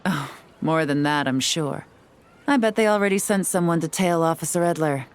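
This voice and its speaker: Woman playing a composed female spy, lowish voice, calm measured delivery, soft game music. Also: smooth, lightly acted. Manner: calm, measured, dryly explanatory. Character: cool, composed female intelligence agent. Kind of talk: video game voice acting.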